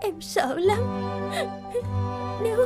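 A gentle young nurse in a cartoon, with a high, fragile voice. She speaks hesitantly and fearfully over clear background music. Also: expressive, takes it slowly, exaggerated voice. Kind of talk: cartoon dubbing.

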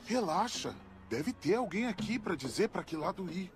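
A man's voice: sits high, big pitch swings, moderate pace. A man voicing a big, clumsy, good-hearted video-game guy in a Brazilian cartoon film dub, boisterous and naive. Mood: reassuring. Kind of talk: animated film dubbing. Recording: loud music under film dialogue.

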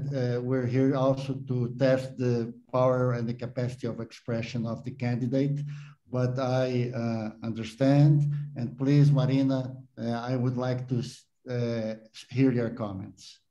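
A man's voice: mid-range voice, fairly even intonation, measured pace, mellow, muffled recording. An older man, an examiner, giving formal remarks at a thesis defense over a video call. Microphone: webcam on a video call.

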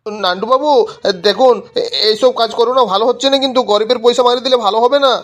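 A man's voice: very high voice, quick pace, fairly bright tone. A man voicing a grumbling village sweet-shop keeper in a cartoon comedy, scolding and exasperated, repeating himself. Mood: exasperated, demanding. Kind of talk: amateur cartoon comedy voice acting.

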